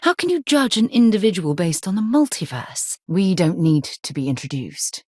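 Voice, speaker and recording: A woman playing an earnest, principled space explorer with military training in a video game, speaking in a measured, articulate and sincere way with a husky voice. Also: on the low side, big pitch swings, darkish tone, no echo, clean studio sound. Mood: composed, explanatory. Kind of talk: video game companion dialogue acting.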